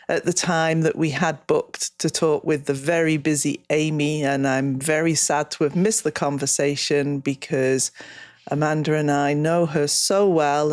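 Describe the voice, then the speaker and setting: A woman's voice: low-pitched, dry recording. A woman co-hosting a podcast outro in a casual, playful, informal way. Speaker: podcast co-host.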